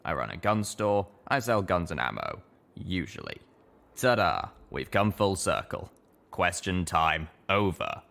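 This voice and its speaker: A man's voice: low voice, very animated, a little slow, creaky, a bit bright, full character voice. A man voicing a jaded, sardonic century-old ghoul pony in a colt's body, rambling wearily in a storybook reading. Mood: flat, brusque.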